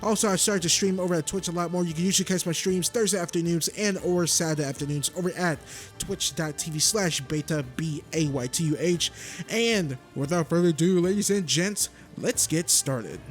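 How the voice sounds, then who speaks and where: A man's voice: a little high, a little fast, faint music behind. Chatty gaming YouTuber man. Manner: chatty, rambling, self-correcting. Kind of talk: casual gameplay commentary.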